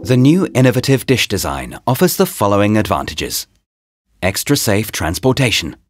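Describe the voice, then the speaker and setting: A man reading a scripted promotional voice-over in short, deliberate, presentational phrases, as a product narrator. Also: on the low side, very animated, no echo. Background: background music.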